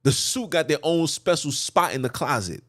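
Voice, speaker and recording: Animated man preaching with big pitch swings. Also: normal speed. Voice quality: voice jumps high for emphasis. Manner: animated, emphatic, repeats phrases, swings between loud and hushed. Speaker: podcast host. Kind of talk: preachy monologue.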